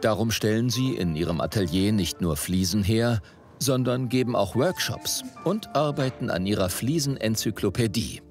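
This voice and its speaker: Low-pitched male documentary narrator. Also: expressive, moderate pace, darkish tone, faint music behind. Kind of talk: scripted narration.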